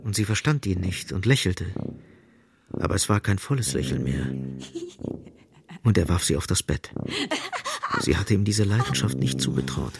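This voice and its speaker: A man narrating a literary radio play dramatically, in terse, emphatic phrases with a gravelly voice. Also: sits low, big pitch swings, normal speed, pauses often, a little mellow. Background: music.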